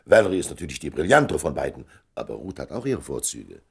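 Radio drama: measured, ironic middle-aged man, very deep husky voice, animated persuasive phrasing. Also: heavily acted. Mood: wryly curious. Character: shrewd older male confidant, measured and ironic. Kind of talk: German radio play acting.

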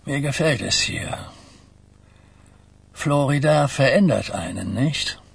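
An actor playing an older Mafia boss in a radio drama, reflective and quietly commanding, with a rough voice and deliberate questions. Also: male voice, mid-range voice, lively intonation, no room sound, full character voice. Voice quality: husky, rough.

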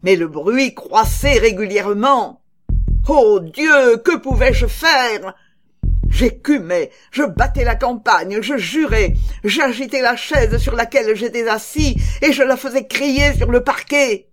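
Woman acting out a slow, haunted, frenzy-prone murderer. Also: a little high, animated, faint music behind, voice pushed up. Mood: frantic, agitated. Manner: dramatic, deliberate, building to frenzied cries. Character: guilt-maddened murderer, frantic, confessing in a gothic horror tale. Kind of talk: dramatic audiobook reading of a gothic short story.

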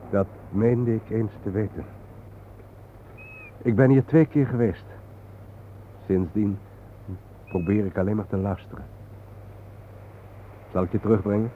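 An actor as a brooding, haunted male guide in a radio play, grave and reflective. His voice is fairly low, heard through a tinny, narrow band with light noise. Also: steady tone, measured pace, pauses often, close to an everyday voice. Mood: calm, weary. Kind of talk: radio drama acting, at times poetic.

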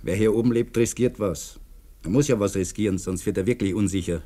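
A man acting a reflective Austrian construction worker in a 1950s radio play. His voice sits fairly low, and he speaks earnestly, like someone who thinks hard about his work. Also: brisk, warm tone, lightly acted. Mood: earnest, musing. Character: thoughtful dam construction worker keeping a diary, philosophical. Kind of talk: 1950s German-language radio drama acting.

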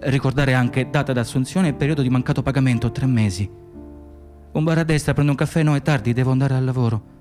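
An actor playing a weary, dutiful Moroccan immigrant hospital worker in a radio drama, speaking in a plain, insistent monologue. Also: male voice, mid-range voice, quick pace, darkish tone, soft background music, lightly acted. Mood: preoccupied, musing. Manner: clipped inner monologue and plain testimony.

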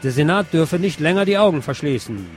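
A man's voice: a little high, lively intonation, brisk, over music. A man reads scripted news commentary aloud as a presenter. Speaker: podcast presenter reading press articles.

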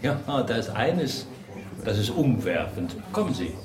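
An actor playing an effusive, gushing art connoisseur at a gallery in a radio crime drama. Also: male voice, medium pitch, big pitch swings, measured pace, a crowd in the background. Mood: admiring. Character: effusive art connoisseur guiding visitors around a gallery opening. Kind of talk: 1980s German radio crime drama dialogue. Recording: echoey, distant, noisy busy room.

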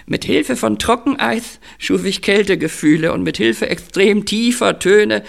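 Faded male screen star: theatrical, raised creaky voice, emphatic audio drama delivery. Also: sits high, unhurried, exaggerated voice. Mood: dramatic confession. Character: theatrical ageing male film star, proud, confessing his scheme.

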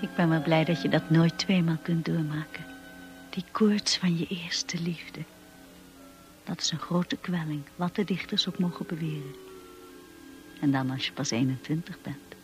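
An actress playing a wistful woman who narrates memories of first love in a radio drama, intimate and measured. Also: low voice, steady tone, faint music behind.

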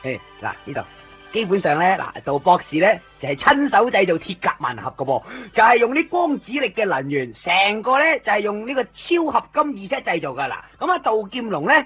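A man acting an eager, chatty toy shop owner in a radio drama, rambling excitedly in Hong Kong Cantonese. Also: sits high, brisk, lo-fi sound. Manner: excitable, rambling, fond of showing off toys. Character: eager, chatty male second-hand toy shop owner, kind to customers. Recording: band-limited 1990s radio recording with faint music.

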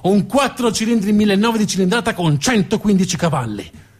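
A man's voice: high-pitched, expressive, brisk, breathy edge. A man telling a story as a stage narrator, emphatic and dramatic, building up lists and repetitions.

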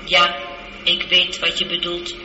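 An actress playing a calm, logical, seductive spaceship computer in a radio drama, speaking in a measured, precise and unemotional way. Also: female voice, fairly low pitch. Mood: calm, factual. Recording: muffled, echoey, noisy old radio recording.

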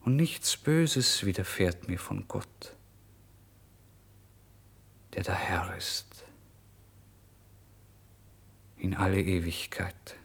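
A man's voice: low voice, big pitch swings, slow pace, creaky, long pauses, breathy edge, whispered, full character voice. A male actor playing a despairing, tormented starving writer in a literary radio play monologue, theatrical in delivery. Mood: solemn, haunted. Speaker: actor narrating in first person.

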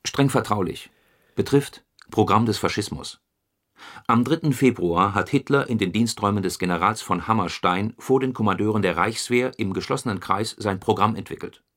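A man's voice: on the low side, moderate pace, a bit bright. A man reading scripted material as an announcer in a radio documentary, formal and official in manner.

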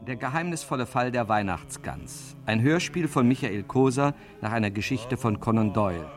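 A man's voice: mid-range voice, animated, moderate pace. A man announcing a radio play and reading its stage directions aloud in a matter-of-fact way.

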